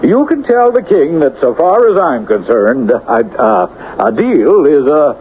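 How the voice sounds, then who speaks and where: A man's voice: on the high side, big pitch swings, a little slow, background noise. An actor playing a lazy, self-satisfied male convict who stammers and chuckles, in a 1970s radio drama. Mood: aggrieved. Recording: tinny old 1970s radio broadcast.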